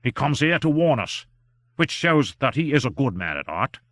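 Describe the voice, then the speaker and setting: A man voicing a calm, deferential manservant in an audiobook. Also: medium pitch, normal speed, dry recording, pitched above the performer's own voice. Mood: agreeing. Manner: plain, deferential. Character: loyal male manservant, calm and respectful.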